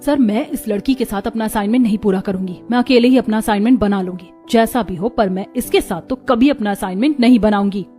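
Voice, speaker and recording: A woman's voice: medium pitch, quick pace, hardly any pauses, mellow, soft background music, natural voice. A woman playing a spoiled, scornful rich college girl in a cartoon story, with a mocking, disdainful manner. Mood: indignant disdain. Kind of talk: Hindi cartoon story dialogue.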